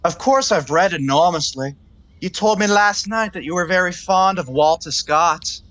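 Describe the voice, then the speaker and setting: A man's voice: sits high, moderate pace, a bit bright, no echo. An actor playing an earnest, lovestruck, rather pompous young man in a 1920s comedy audio play, speaking in a florid, self-serious way.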